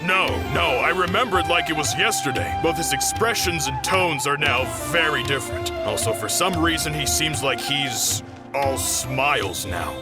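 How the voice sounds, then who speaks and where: A man playing an earnest, observant subordinate in a video game, talking in a measured, musing way. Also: on the high side, lively intonation, over loud music, lightly acted. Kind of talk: video game character acting.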